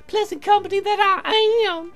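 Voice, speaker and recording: A woman's voice: very high-pitched, fairly even intonation, normal speed, soft background music. A woman voicing a flirty, gushing society lady from a visual novel in a playful, affected, teasing way. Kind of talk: theatrical visual novel character reading.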